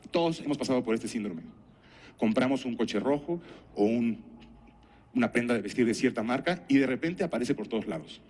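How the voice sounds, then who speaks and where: Mexican guy, middle-aged, speaking at a conference, teacherly, deliberate phrasing, stories and questions. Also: medium pitch, expressive, a little mellow. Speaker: conference speaker and health professional. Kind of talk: conference talk with anecdotes and rhetorical questions.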